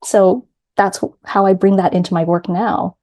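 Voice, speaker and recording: A middle-aged woman with a New York accent, a grief coach guest telling her story in an earnest, measured way. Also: mid-range voice, steady tone, warm tone. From New York City. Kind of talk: reflective personal storytelling.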